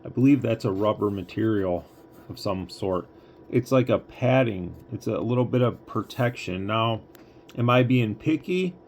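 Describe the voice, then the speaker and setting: Low-pitched man, casual gear review. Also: moderate pace, darkish tone. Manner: rambling, thinking aloud. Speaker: product reviewer and host. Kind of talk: casual unboxing review, talking through thoughts. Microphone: phone, handheld. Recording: clear background noise, recorded on a phone.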